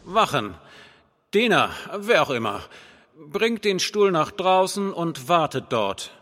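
A man's voice: fairly high pitch, very animated, takes it slowly, fairly bright tone, heavily acted. A man playing a spoiled, impatient and childishly cruel king in a comic audio drama, with a pompous, whiny manner. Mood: bossy. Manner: pompous, whiny, hesitant filler words.

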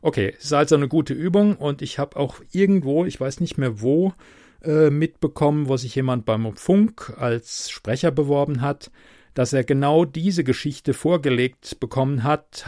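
A man from the Mannheim area with a softened Palatine German accent, hosting a casual podcast and reading a literary anecdote aloud. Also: medium pitch, no room sound, studio quality. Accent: Palatine German, softened by speech training. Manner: chatty, unhurried, reads with dramatic emphasis. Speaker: podcast host and reader.

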